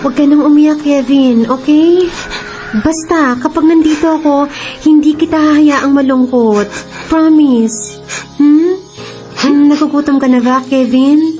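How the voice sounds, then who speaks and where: A devoted young Filipina girlfriend in a radio drama. Her high voice is tender, earnest and soothing, and light music plays behind her. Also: measured pace, dark tone, poor audio. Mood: tender coaxing.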